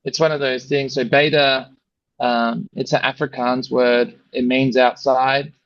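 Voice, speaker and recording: An Australian man, a brand founder, chatting casually in an interview, relaxed and rambling with lots of "you know" and "like". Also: medium pitch, a little slow, no room sound, dull audio.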